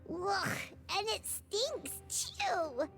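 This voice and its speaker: A woman voicing a tiny, excitable childlike fairy companion in a video game, squeaky and dramatically exclamatory. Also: drawn-out words, full character voice. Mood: disgusted. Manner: exclamatory, dramatic, refers to herself by name. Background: game music.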